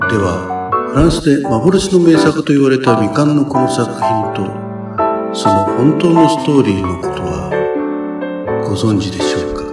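A man's voice: medium pitch, expressive, normal speed. A man reading a scripted narration to introduce a radio drama. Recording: roomy, distant, under loud music. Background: loud piano music.

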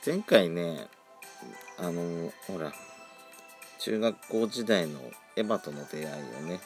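A man's voice: low voice, lively intonation, takes it slowly. A man around forty hosting a casual solo podcast, chatting with campy, feminine phrasing. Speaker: podcast host. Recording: faint background music.

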